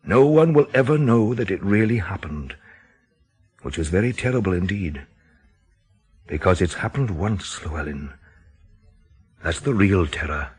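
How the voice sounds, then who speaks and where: Grave intense radio play man, bit husky, really emphatic, pitch moves around a lot. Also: lively intonation, a little mellow, heavily acted. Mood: grave, foreboding. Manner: emphatic, dramatic, deliberate phrasing with rhetorical questions. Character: grave, intense countryside man expounding a dreadful theory. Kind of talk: radio drama acting.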